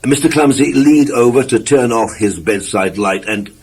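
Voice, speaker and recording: A man's voice: medium pitch, moderate pace, noisy background. A man narrating a scripted children's story expressively, with emphatic phrasing and asides to the listener.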